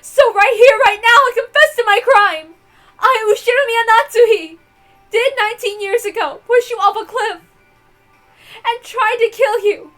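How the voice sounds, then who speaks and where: Woman playing tormented mother, high-pitched, proud, desperate, theatrical confession, visual novel reading, faint music behind. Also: measured pace. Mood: bitter, impassioned. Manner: theatrical, emotional, swinging from hushed to impassioned. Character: guilt-ridden mother confessing murder, proud, anguished, desperate. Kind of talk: dramatic visual novel read-aloud.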